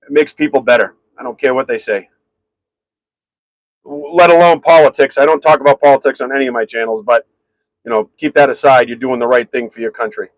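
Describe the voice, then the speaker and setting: A man hosting a casual live stream from his garage, rambling and answering viewers' comments in a very loud voice. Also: mid-range voice, brisk, no echo, poor audio. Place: home garage.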